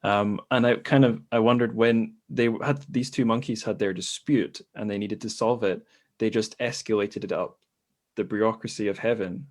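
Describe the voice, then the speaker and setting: A man's voice: on the low side, steady tone, moderate pace. A man hosting a casual podcast discussion, talking in a reflective, anecdotal way. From a Western country. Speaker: podcast host.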